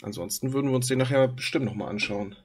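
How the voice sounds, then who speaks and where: A man's voice: mid-range voice, fast, noisy background. A man streaming from home on a headset, chatting casually to his viewers while reacting to a video. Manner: chatty, talks to his chat and pet. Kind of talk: casual live-stream commentary.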